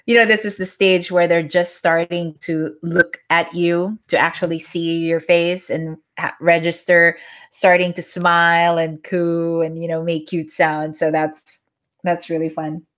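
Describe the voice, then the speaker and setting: Filipino lady in her 40s, yoga teacher, low-ish voice, chatting to viewers live from home. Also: little pitch movement, measured pace, a bit bright. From Philippines (Manila). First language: Tagalog. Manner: chatty, reads and answers viewer comments. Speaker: yoga instructor hosting a live chat. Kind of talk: casual live-stream chat. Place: at home during a live stream.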